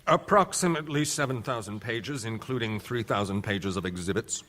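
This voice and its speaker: A man's voice: lowish voice, moderate pace, a bit bright, lightly acted. An actor playing a male defense official testifying in a radio courtroom drama, formal, clipped and precise. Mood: formal, guarded. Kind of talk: radio play acting.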